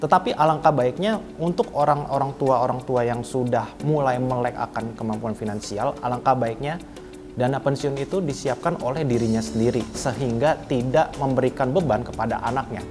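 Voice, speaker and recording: A man's voice: mid-range voice, fairly quick, over music. A man in his mid twenties giving earnest, formally phrased opinions in an interview. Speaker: business development officer being interviewed. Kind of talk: interview answers, reflective opinion.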